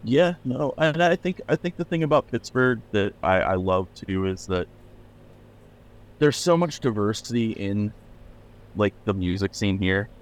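A man's voice: fairly low pitch, animated, a little slow. A middle-aged man from Pennsylvania, a record label owner, chatting loosely in a podcast interview with many fillers and restarts. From Pennsylvania, USA.

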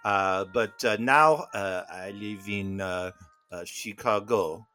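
A man voicing a pompous fake-French gentleman with a put-on French accent, halting with constant "uh" fillers. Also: on the low side, soft background music. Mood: hesitant. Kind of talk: improvised comedy bit.

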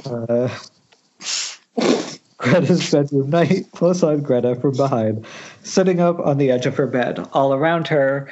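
A man's voice: medium pitch, unhurried, long pauses, darkish tone, slight background noise. A man reading stage directions as narrator at an amateur table read, recorded over a muffled internet call.

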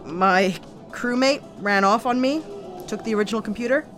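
A woman's voice: fairly high pitch, normal speed, a bit bright, natural voice. An actress playing a guarded female pilot and former officer who talks hesitantly and deflects praise, with music underneath. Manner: hesitant, self-deprecating, awkward laughs. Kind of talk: audio drama acting.

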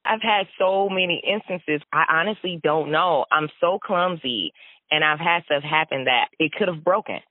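A young woman tells stories in a relaxed, chatty way and laughs often as she goes. The sound is dry and narrow, with the boxy, thin quality of a phone line. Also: sits low, normal speed, no echo. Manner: laughs often, animated storytelling. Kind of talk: casual chat.

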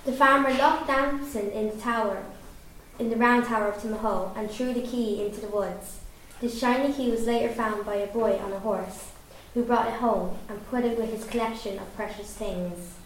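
A woman with an Irish accent reading a story aloud in a lively storyteller's way. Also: fairly high pitch, moderate pace. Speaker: narrator. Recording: very echoey room, far from mic, faint noise.

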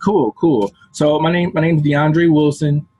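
A man's voice: a little high, fairly even intonation, unhurried, mellow, breathy edge, no room sound, rough recording. A man from Indiana, a podcast guest, polite and upbeat as he introduces himself. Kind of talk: casual interview talk.

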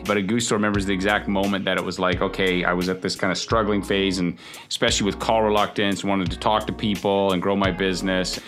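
A man's voice: sits low, flat delivery, a bit bright, soft background music. A man hosting a podcast interview, talking in a casual, upbeat way full of fillers. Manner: upbeat, fast talk with many fillers like "like". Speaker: podcast host.

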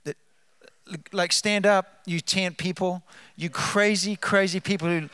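Animated New Zealand man at the pulpit. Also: sits high, measured pace, smooth, dry recording. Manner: animated, hypes up the crowd, trails off into asides. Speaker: guest speaker. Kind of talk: church testimony talk. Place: church sanctuary.

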